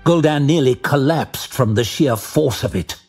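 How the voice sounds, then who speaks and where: A man reading dramatic scripted fantasy narration as an audiobook narrator, measured and deliberate. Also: medium pitch, darkish tone, husky, faint music behind.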